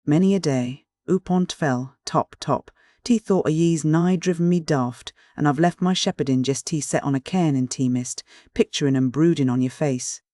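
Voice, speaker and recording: A man voicing a rough, earnest shepherd with a husky Northern English dialect, blunt and stubborn. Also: a little high, normal speed, hardly any pauses, mellow, dry recording, studio quality, natural voice. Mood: pleading. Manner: blunt, pleading, dialect-heavy.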